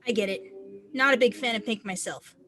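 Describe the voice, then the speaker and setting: A woman's voice: on the high side, brisk, bright, forceful tone, slight background noise. A woman playing a confident, friendly eighteen-year-old androgynous snowboarder in a screenplay table read. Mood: friendly, coaxing.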